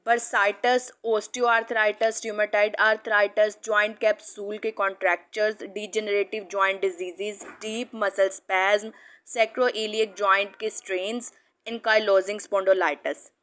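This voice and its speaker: A young woman physiotherapist walks through a therapy method in a calm, steady teaching voice. She explains each step plainly and lists technical terms one after another at a middle pitch. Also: takes it slowly. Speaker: clinical physiotherapist. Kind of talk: instructional lecture mixing Hindi and English.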